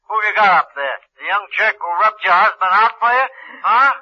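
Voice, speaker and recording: An American actor playing a cocky, scornful lover and accomplice in vintage radio drama, wisecracking and bossy. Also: male voice, sits high, lively intonation, fairly quick. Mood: smug, impatient. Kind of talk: 1940s-50s American radio drama acting. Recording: muffled vintage radio broadcast.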